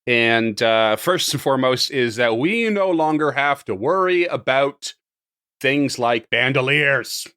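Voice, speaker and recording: A slightly hoarse man running a tabletop game podcast, talking casually, rambling and often laughing. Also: mid-range voice, lively intonation, unhurried, pushed and bright, dry recording, studio quality. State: a little hoarse. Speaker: game master.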